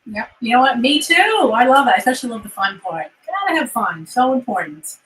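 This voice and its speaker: A woman's voice: a little high, sing-song, a little fast, fairly bright tone, low-quality recording. Upbeat New York woman host. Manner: upbeat, emphatic, repeats words for stress. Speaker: host, career coach. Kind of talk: casual interview chat.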